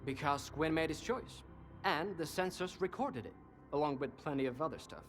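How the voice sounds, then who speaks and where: A man's voice: fairly high pitch, animated, normal speed, soft background music, close to an everyday voice. An actor playing a cynical, worldly male informant in a video game, talkative and sardonic, with an insinuating conversational manner. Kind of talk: video game dialogue acting.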